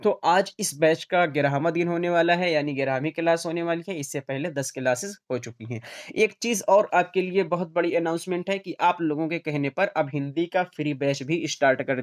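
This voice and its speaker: Higher-pitched male teacher. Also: moderate pace. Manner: repetitive, rephrasing each point for students. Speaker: teacher of an online exam-prep class. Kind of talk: informal lecture, explaining and repeating points.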